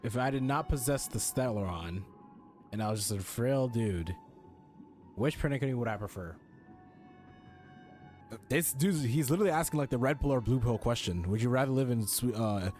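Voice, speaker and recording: A man's voice: medium pitch, moderate pace, pauses often, darkish tone. A man streaming a video game with casual, blunt, slangy live commentary and some swearing. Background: game music.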